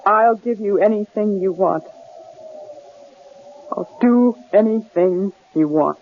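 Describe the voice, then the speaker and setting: An actress playing a frightened but determined captive wife in an old-time radio drama, speaking with halting, emotional earnestness. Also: female voice, mid-range voice. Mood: pleading. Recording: muffled, noisy old radio recording.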